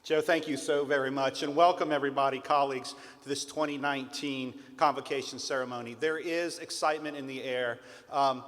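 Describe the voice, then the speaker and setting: A man giving a formal, measured welcome as a college provost. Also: mid-range voice, fairly even intonation, a bit bright. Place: large hall. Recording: long hall reverb.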